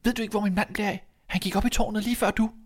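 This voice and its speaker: Sexton's wife for a storybook, male reader, pitched up, indignant, urgent, complaining. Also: steady tone. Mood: worried. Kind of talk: fairy tale storytelling.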